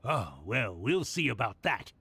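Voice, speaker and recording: A man's voice: mid-range voice, very animated, measured pace, vocal fry, sharp tone, heavily acted. A man playing a fussy, disapproving older village uncle in a video game. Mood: indignant. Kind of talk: game voice acting. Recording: music behind the voice.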